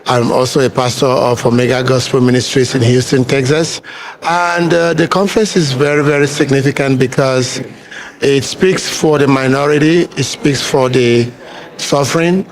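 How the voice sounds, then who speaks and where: A man's voice: mid-range voice, fairly even intonation, moderate pace, rough recording. A man, a pastor, answering an interview question earnestly, with frequent "uh" fillers.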